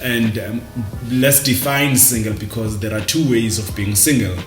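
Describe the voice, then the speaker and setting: A South African man talking casually and reflectively to camera, halting and repeating words as he goes. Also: on the low side, roomy sound, faint music behind. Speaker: vlogger. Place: indoors, dim room at night.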